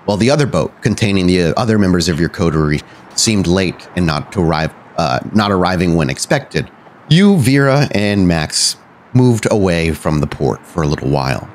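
A man hosting and narrating a tabletop roleplaying game, often halting and correcting himself as he goes. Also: low-pitched, very animated, a little hiss or hum. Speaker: podcast host and game storyteller.

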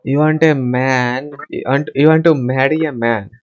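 A man's voice: mid-range voice, steady tone, normal speed, highs cut off. A young man in his teens from India with an Indian accent, chatting casually and hesitantly, repeating his phrases. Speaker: host of English conversation chats. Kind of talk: casual chat. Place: small room with shelves. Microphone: headset on video call.

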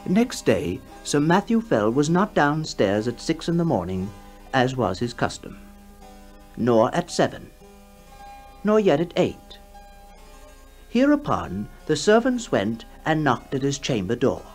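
A man narrating a ghost story in a measured, theatrical 1960s radio style, with swells and hushed drops. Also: mid-range voice, wildly swooping pitch, soft background music. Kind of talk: dramatic scripted storytelling, 1960s radio broadcast.

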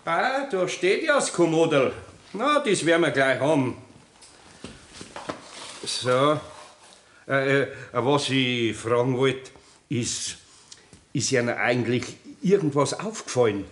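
An actor playing a good-natured, awkward master carpenter with a Bavarian accent and a hesitant manner in a 1960s German radio play. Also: male voice, medium pitch, animated, slow, pauses often, fairly bright tone. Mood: sheepish. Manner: hesitant, homely, stammering.

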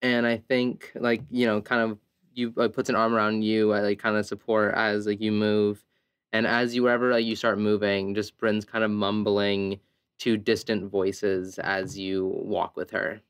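A man playing a tabletop roleplaying game, narrating his character's actions in an informal, halting way. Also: lowish voice, level tone, fairly bright tone, smooth, no echo.

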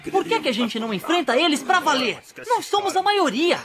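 A high-pitched plucky little bug. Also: female voice, very animated, fairly quick. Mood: indignant, rallying. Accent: Brazilian. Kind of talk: animated cartoon dub acting.

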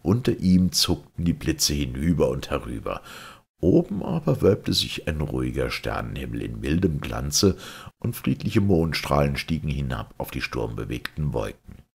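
A man narrates a literary audiobook in an extremely deep voice with a gravelly creak. His reading is animated and moves freely up and down, with a husky grain to the sound. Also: moderate pace. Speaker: audiobook reader. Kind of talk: scripted literary narration of a vintage science fiction story.

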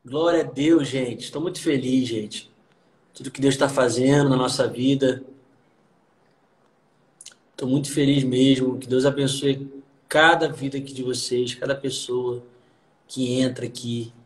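A man's voice: mid-range voice, fairly even intonation, unhurried, long pauses, reverberant, rough recording. A young man preaching fervently on a live stream from a small room, slipping into song, filmed on a phone at arm's length. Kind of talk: preaching and singing on a live video call. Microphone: phone camera at arm's length.

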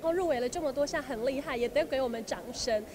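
A woman's voice: high-pitched, fast talker. A young woman answering in a press interview, into a handheld microphone at an indoor event. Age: young adult. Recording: crowd noise behind interview.

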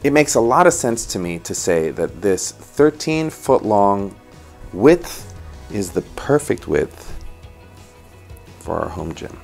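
A man in his thirties hosting a design show, walking through a floor plan in an animated way from his home office on a lapel mic. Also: lowish voice, slow, vocal fry, pauses often, soft background music. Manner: animated, gestures while explaining. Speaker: interior designer host.

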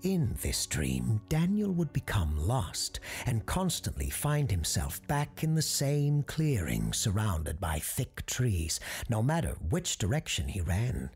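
A woman narrating a ghost story in a hushed, often whispered delivery. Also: rumbling low, wildly swooping pitch, takes it slowly, few pauses, husky, faint music behind.

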